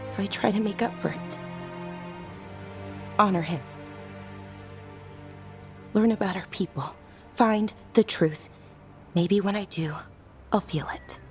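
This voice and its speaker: An actress playing a young, earnest female elf companion in a video game, grieving and guilt-ridden, with soft, halting delivery. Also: medium pitch, soft background music. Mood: grieving, subdued. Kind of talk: video game dramatic voice acting.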